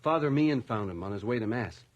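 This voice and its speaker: A man's voice: fairly low pitch, animated, quick pace, lo-fi sound, lightly acted. An actor playing a deferential male police constable in a radio drama, formal and somewhat hesitant in reporting.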